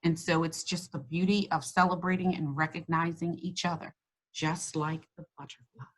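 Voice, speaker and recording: Woman reading a kids book out loud, slow and stretchy, super encouraging. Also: low-pitched, level tone, rough recording. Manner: warm, encouraging, slows and stretches words when reading. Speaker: school district host reading to children. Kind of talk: read-aloud lesson and chat.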